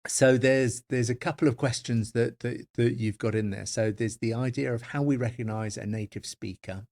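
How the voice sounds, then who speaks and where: Podcast co-host, middle-aged man, measured and hesitant, repeats words while thinking. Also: mid-range voice, fairly even intonation, darkish tone. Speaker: podcast co-host, forensic linguist. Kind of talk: academic podcast discussion.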